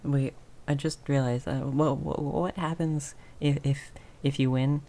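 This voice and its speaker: A woman voicing a tiny timid mouse person in an improvised ASMR roleplay, soft and breathy, stammering nervously and often slipping into a whisper. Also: very deep voice, steady tone. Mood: shy, nervous. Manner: nervous stammering, halting and repeating words. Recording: faint background noise with rain ambience. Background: rain sounds.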